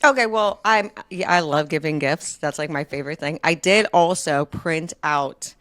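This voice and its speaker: A woman's voice: deep voice, moderate pace, fairly bright tone, no room sound. A woman in her early thirties co-hosting a podcast, telling personal stories in a casual, self-deprecating way. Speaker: podcast co-host. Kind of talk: casual chat, personal storytelling.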